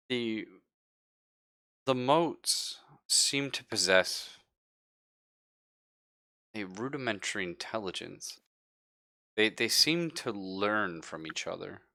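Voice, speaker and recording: A man playing an anxious, apologetic ship's doctor in an improvised tabletop roleplay, speaking haltingly and carefully. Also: on the low side, bright, forceful tone, no room sound, exaggerated voice.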